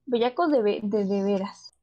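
A woman's voice: medium pitch, normal speed, mellow, lo-fi sound. A young woman with a northern Mexican accent co-hosting a casual livestream chat, candid and slangy. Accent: northern Mexican Spanish. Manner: slangy, laid-back, candid. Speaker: podcast co-host.

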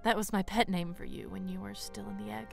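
A woman's voice: medium pitch, steady tone, fast, creaky, over music, lightly acted. A woman playing a tough but motherly female dragon who leads outlaws, firm and resolute, in an audio drama. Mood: tender.

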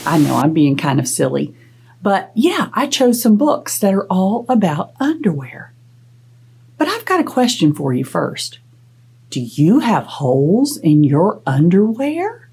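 Middle-aged lady librarian talking to little kids, really animated, slow and careful, playfully dramatic. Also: lowish voice, breathy edge, faint music behind. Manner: expressive, instructive, playfully dramatic. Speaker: children's librarian, storytime host. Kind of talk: children's storytime talk and read-aloud. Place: small indoor space, plain wall.

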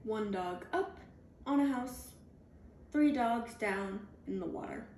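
A woman reading a children's picture book aloud, deliberately, in clearly spaced phrases for young children, recorded indoors at home. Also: mid-range voice, lively intonation, a little mellow. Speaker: storybook reader. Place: indoors at home, on a leather couch. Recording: roomy home recording with background noise.

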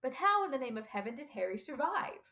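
A woman's voice: a little high, animated, normal speed, fairly bright tone, pitched above the performer's own voice. A woman voicing a stern older female professor in an audiobook, with a clipped, emphatic, impatient manner. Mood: shaken, astounded. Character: stern older female professor, brisk, indignant, easily ruffled. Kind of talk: audiobook character dialogue.

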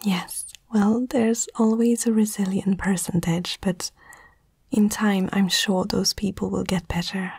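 A woman whispering softly and tenderly in a breathy voice, in a reassuring ASMR comfort roleplay, close to the microphone. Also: medium pitch, steady tone, measured pace, dry recording.

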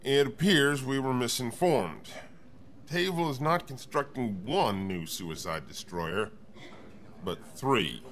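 A man's voice: mid-range voice, lively intonation, slow, fairly bright tone. A man playing a dutiful, respectful starship captain with a grim, dryly wry manner in a science fiction audio drama.

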